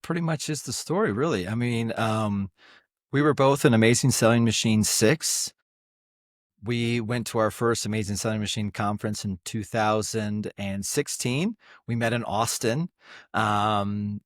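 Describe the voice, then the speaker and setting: A man telling stories as an interview guest, relaxed and easygoing, with plenty of ums and restarts. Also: mid-range voice, no echo, clean studio sound. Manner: relaxed, uses many fillers. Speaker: guest, business partner. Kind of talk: interview storytelling.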